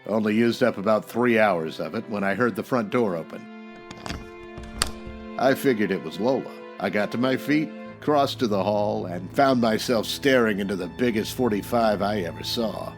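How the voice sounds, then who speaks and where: Man playing a low-voiced deadpan hardboiled private eye. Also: normal speed, close to an everyday voice. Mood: wry, deadpan. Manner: deadpan, slangy. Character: wry hardboiled male private eye narrating his case. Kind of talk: old-time radio detective parody, first-person narration. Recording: music under the voice.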